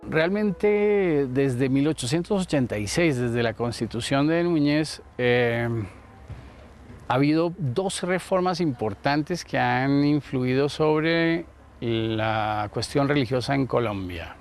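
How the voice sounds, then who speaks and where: A man giving an explanatory interview answer as an expert, in a deliberate, didactic way. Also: mid-range voice, a little hiss or hum.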